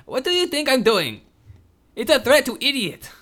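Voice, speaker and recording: A shrill, furious kidnapper woman, put on by a man reading a story snaps and barks orders in a voice pushed far above his own. She stays sharp-tongued and bossy, her pitch jumping around wildly. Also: animated, brisk. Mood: yelling angrily. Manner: shouting, snapping.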